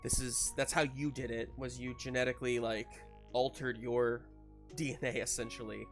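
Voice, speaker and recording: Male game master, mid-pitched voice. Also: flat delivery, moderate pace, soft background music. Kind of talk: improvised tabletop game narration and discussion.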